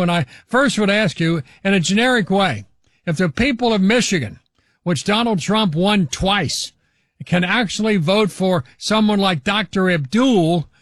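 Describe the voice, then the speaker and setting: Older guy hosting talk radio, highish voice, dead dry mic, keeps restarting his sentences. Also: fairly bright tone, no room sound. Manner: halting, restarts and fillers, opinionated. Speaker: radio talk show host. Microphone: studio microphone.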